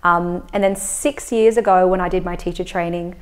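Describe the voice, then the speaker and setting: A woman in her thirties from Australia, speaking as a yoga and breathwork teacher. Her voice sits a little low, and she explains things calmly with frequent fillers and a relaxed pace. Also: normal speed. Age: 30s. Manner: calm, explanatory, many "um"s and "like"s. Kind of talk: casual interview answers.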